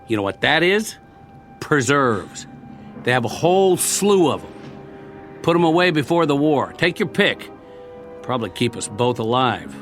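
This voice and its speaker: An actor playing a weary, wry American ex-soldier, plain-spoken and coaxing, in a radio drama. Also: male voice, mid-range voice, sing-song, measured pace, pauses often, a bit bright, soft background music. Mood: wry, firm. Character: weary, wry male ex-soldier surviving after war.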